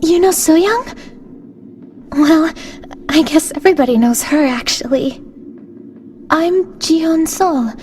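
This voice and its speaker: A woman's voice: sits high, slow, pauses often, a little mellow, soft background music. A woman playing a teasing, chatty teenage schoolgirl in a video game. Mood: friendly, curious.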